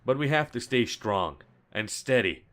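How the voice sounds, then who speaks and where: A man's voice: mid-range voice, big pitch swings, a little slow, fairly bright tone. A man playing a reassuring city mayor who makes a formal public statement in an audio drama. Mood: solemn reassurance.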